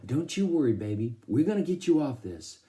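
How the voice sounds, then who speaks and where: A man's voice: mid-range voice, sing-song, fairly quick, mellow, pitched above the performer's own voice, lightly acted. A man voicing a worried, tender mother with a Southern US accent.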